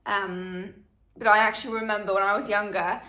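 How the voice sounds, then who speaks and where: A woman's voice: medium pitch, fairly even intonation, brisk, pushed and bright, reverberant. A woman, chatting through a Q&A for her channel with plenty of fillers. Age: 20s. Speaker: vlogger. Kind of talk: casual Q&A chat.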